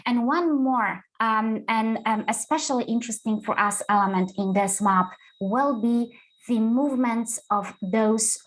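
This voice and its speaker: A woman with a mixed Polish and French accent giving an online lecture over slides, pausing often with uh and um. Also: fairly high pitch, low-quality recording. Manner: hesitant, many uh and um fillers. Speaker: guest lecturer. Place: online webinar.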